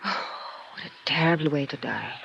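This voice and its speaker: An actress playing a scheming femme fatale in a 1950s radio noir, feigning innocence with pleading, indignant denials. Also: female voice, sits low, normal speed, vocal fry, fairly bright tone, lo-fi sound. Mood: shaken, subdued. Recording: noisy old radio drama recording.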